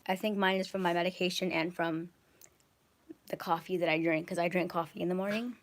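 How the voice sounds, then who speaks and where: A young woman vlogging at home with a hoarse, raspy voice, chatting casually with lots of ums and swearing while holding her phone at arm's length. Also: on the low side, fairly even intonation, fairly quick, slight background noise. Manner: chatty, frequent ums and likes, swears freely. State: hoarse from acid reflux. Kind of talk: casual vlog rant. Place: large home kitchen and rooms, indoors. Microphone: phone camera held at arm's length, walking around.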